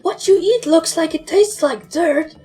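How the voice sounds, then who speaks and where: Woman voicing high, brash young pegasus pony. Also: unhurried, dark tone, soft background music. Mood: appalled. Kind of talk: fan fiction character voice.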